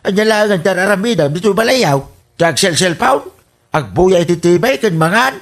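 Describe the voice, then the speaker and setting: Man acting animated nagging old father, Ilocano Filipino. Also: sits high, normal speed, creaky. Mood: scolding. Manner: nagging, sermonizing. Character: scolding, exasperated old father. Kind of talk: Ilocano comedy radio drama acting.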